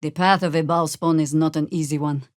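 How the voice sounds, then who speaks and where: A woman playing a seasoned, wry, world-weary warrior druid in a video game, speaking in a dry, sardonic, measured way. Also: deep voice, steady tone, no room sound, lightly acted. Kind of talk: dramatic video game character dialogue.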